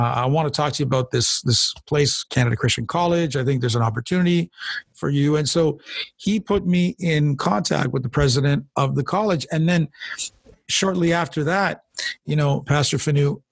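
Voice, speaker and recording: A middle-aged pastor speaks with a husky, gravelly voice that sits a little high for a man. He tells his testimony earnestly and conversationally, circling back and repeating words as he finds them. The sound is dry and close. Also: moderate pace, no room sound. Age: 40s or older. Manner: earnest, storytelling, frequent restarts and repeated words. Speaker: pastor and guest. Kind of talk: personal testimony in a conversational interview.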